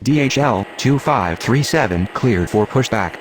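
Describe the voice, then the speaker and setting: A man's voice: mid-range voice, lively intonation, a little slow, close to an everyday voice. A man voicing a businesslike air traffic radio operator in a game, with formal, scripted calls. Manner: formal, procedural. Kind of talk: clipped, scripted radio phraseology.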